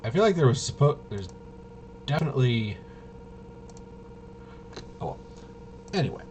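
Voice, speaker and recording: A man giving a casual, unscripted walkthrough as a host, rambling and halting, with muttered asides to himself. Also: mid-range voice, very animated, a little mellow, slight background noise. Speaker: host of a tabletop RPG review video.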